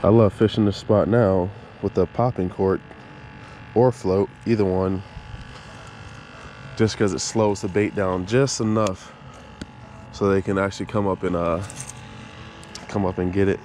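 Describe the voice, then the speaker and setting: A man narrating his fishing to viewers from a small boat outdoors, excited running commentary, recorded on a head-mounted action camera. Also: sits low, measured pace, pauses often, a little hiss or hum. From Florida. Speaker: fishing vlogger. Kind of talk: first-person fishing narration.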